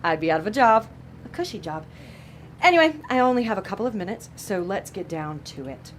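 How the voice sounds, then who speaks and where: A woman's voice: medium pitch, very animated, a little fast, slight background noise, natural voice. A woman playing a sardonic, bossy female devil who runs an office in a comedy audio drama. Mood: wry, brisk. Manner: bossy, sarcastic, exasperated.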